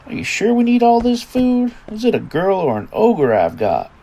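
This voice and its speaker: A man's voice: high voice, huge pitch swings, moderate pace, warm tone, a little hiss or hum, heavily acted. A man acting the part of a weary, teasing father of a teenage daughter in an audio drama. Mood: wry, easygoing.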